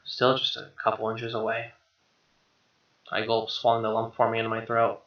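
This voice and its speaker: A man doing an expressive read-aloud of a game's narration, with casual asides. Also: mid-range voice, normal speed. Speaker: gamer reading a visual novel aloud. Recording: roomy, dull lo-fi mic. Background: game music.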